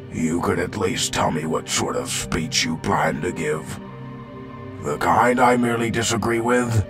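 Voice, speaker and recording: A man playing a solemn robot leader with an extremely deep, rough, gravelly voice. He speaks slowly and formally, his pitch moving a lot with earnest feeling. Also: heavily acted. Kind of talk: fan comic dub.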